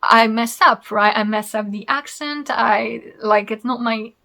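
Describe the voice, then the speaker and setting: A woman's voice: a little high, measured pace, vocal fry, bright, forceful tone, slight background noise. Chatty French-accented woman, thirties. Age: 30s. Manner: chatty, rambling, laughs easily. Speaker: knitting podcast host. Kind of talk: casual chat to camera.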